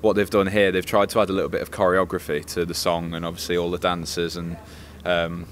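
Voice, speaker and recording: Low-voiced man, measured and diplomatic. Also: level tone, a bit bright. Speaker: reviewer, co-presenter. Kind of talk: casual spoken review. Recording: faint background ambience noise.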